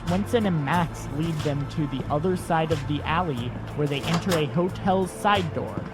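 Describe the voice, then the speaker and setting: Higher-pitched male audio drama narrator. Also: normal speed. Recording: clear music bed behind the voice.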